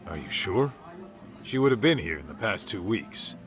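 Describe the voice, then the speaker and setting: A middle-aged man with an American accent playing an easygoing, streetwise tough-guy detective in a dubbed video game, talking casually and gruffly. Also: lowish voice, very animated, normal speed, fairly bright tone. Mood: businesslike. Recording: music behind the voice.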